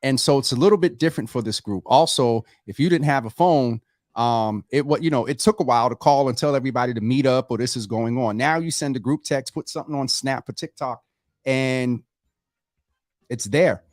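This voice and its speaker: Host: man, mid pitch, casual and familiar with fillers, dry close-miked sound. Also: brisk, dry recording. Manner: warm, familiar, many fillers. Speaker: talk show host. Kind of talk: casual live talk show.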